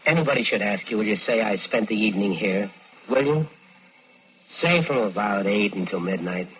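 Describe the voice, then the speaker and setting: An actor playing a smooth-talking American killer who tells his own crime in vintage radio drama style. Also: lowish voice, moderate pace, fairly bright tone, close to an everyday voice. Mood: persuasive, evasive. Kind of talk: 1940s-50s radio crime drama acting. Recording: dull lo-fi old radio recording.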